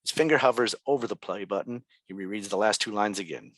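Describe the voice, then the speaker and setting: A man's voice: on the low side, fast, a bit bright, no echo, poor audio. A man reading scene directions as scripted narration at a table read. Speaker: stage directions reader.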